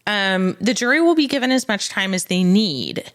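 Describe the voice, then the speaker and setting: A woman's voice: medium pitch, animated, normal speed, a bit bright. Female legal podcast co-host, middle register, conversational explainer who acts out bits of dialogue. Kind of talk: casual explanatory podcast chat.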